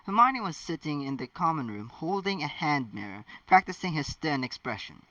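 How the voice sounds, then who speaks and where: A man reading fan fiction as an amateur channel host, with animated, halting delivery and casual asides. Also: fairly high pitch, no room sound. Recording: dull lo-fi, distant mic.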